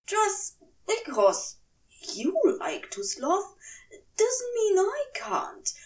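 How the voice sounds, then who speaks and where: A woman voicing a dignified, fussy, tipsy male angel who is easily indignant, in a prim, plaintive, drawn-out delivery. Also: very high voice, wildly swooping pitch, distant mic, pitched above the performer's own voice, exaggerated voice.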